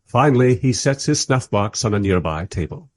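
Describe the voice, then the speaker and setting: A man playing a wry, politely exasperated film director who narrates a radio play in conversational asides with a husky voice. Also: medium pitch, lively intonation, normal speed, no echo, clean studio sound, natural voice.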